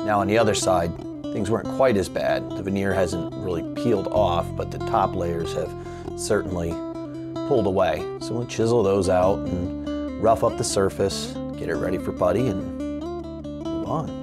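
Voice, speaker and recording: Casual man with a lowish voice. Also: normal speed, over loud music. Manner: informal, musing, asks rhetorical questions. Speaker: host of a radio restoration how-to video. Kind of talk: casual explanatory DIY narration.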